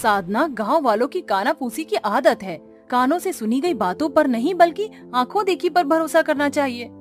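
A woman's voice: sits high, lively intonation, moderate pace, faint music behind. A woman voicing a caring, worried mother in a cartoon, earnest and admonishing.

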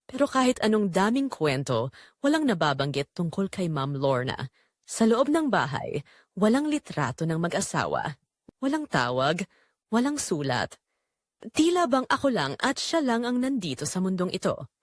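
A woman's voice: fairly low pitch, very animated, moderate pace, dry recording, studio quality. A woman with a Filipino accent narrating a scripted first-person drama as an earnest young caregiver confessing her inner turmoil.

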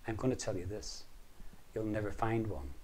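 A man voicing a male friend who hushedly shares a secret about leprechauns. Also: on the low side, lively intonation, normal speed, rough recording. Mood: confiding.

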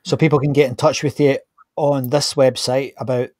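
A man's voice: medium pitch, flat delivery, normal speed, a little mellow, no room sound, studio quality. A man in his fifties hosting an online interview in an informal, appreciative, conversational way. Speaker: host of an online interview show. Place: small home studio, indoors. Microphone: close studio microphone on a stand.

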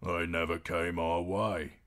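A man voicing a gruff, scornful outlaw in a storybook, muttering and dismissive. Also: deep voice, little pitch movement, slow pace, fairly bright tone.

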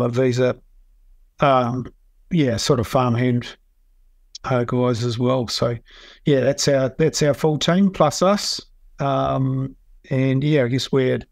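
A man's voice: medium pitch, dry recording. A man from New Zealand with a New Zealand accent, a dairy farmer answering interview questions in an easygoing way full of um and yeah.

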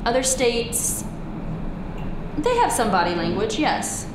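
A woman's voice: fairly high pitch, wildly swooping pitch, slow pace. Emphatic woman, roomy echo, audible room noise. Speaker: interviewee. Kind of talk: casual documentary interview. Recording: echoey room with background noise.

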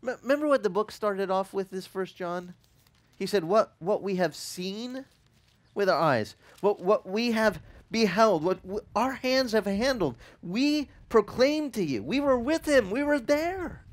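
A man's voice: high voice, measured pace. A man in his forties, a pastor who grew up speaking Italian, teaching a casual sermon outdoors on a beach with a headset mic, asking his listeners questions as he goes. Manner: animated, conversational, asks the listeners questions.

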